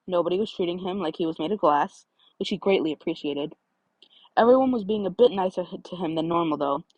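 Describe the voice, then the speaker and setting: A woman's voice: medium pitch, a little mellow, slightly rough, no echo, low-quality recording. A woman reading a scripted story aloud as an audiobook-style narrator. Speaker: audiobook-style fan fiction reader.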